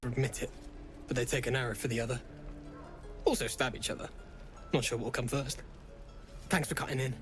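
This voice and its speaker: A man's voice: mid-range voice, steady tone, a little fast, pauses often, soft background music, close to an everyday voice. A man playing a dry, sardonic character in a fantasy video game, with an understated delivery. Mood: wry.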